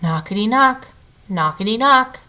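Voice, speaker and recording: A woman reading a children's picture book aloud as a storyteller, with expressive, dramatic emphasis for young listeners. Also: medium pitch, a little slow.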